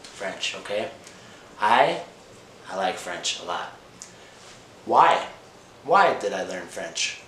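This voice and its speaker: American guy doing a slow english lesson for learners, repeats himself, echoey room w some background noise. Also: low-pitched, big pitch swings, bright, forceful tone. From Indiana, United States. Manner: repeats phrases, simple words, frequent "okay?" check-ins; switches between English and Spanish. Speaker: language teacher, channel host.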